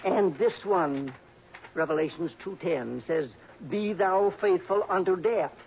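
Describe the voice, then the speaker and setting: High-voiced cranky old miser. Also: male voice, a little slow, gravelly. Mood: insistent, suspicious. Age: older. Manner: blustering, badgering, querulous. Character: cranky, stingy, suspicious old uncle fearing murder. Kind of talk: old-time radio drama acting. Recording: noisy, muffled old radio recording.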